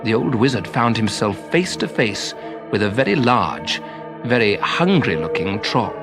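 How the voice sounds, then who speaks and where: A man narrating a children's story in an expressive storybook style. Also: fairly low pitch, unhurried, music in the background.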